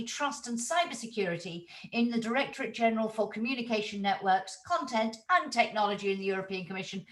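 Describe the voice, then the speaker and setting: A woman moderating a conference panel, fluent and smooth as she introduces the speakers one by one. Her voice sits a little high. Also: a bit bright.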